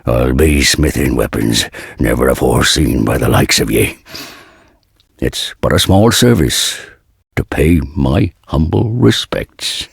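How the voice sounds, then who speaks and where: An actor playing a burly, humble old blacksmith in a dark fantasy video game, with a gravelly, husky voice and slow, archaic, folksy phrasing. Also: male voice, rumbling low, expressive, no room sound, studio quality, full character voice. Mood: grateful, wistful.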